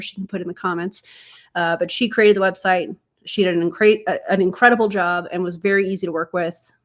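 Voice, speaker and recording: A young adult woman hosting a casual livestream, a little congested as she gets over being sick, chatty and quick to laugh. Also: medium pitch. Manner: chatty, laughs easily, talks to chat. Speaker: streamer and commentator.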